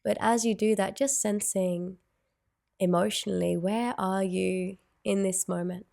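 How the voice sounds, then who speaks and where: A woman guides a meditation very slowly, with long pauses between gentle phrases. Her voice sits on the low side and stays in a narrow, even range, with noticeable fry at the edges. The delivery is soothing and unhurried.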